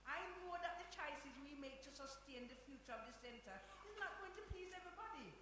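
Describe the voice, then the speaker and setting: An actress playing a firm, pragmatic community centre manager in a stage play, insistent and admonishing. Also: female voice, high voice, expressive, quick pace. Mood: defensive. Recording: echoey live hall, faint noise.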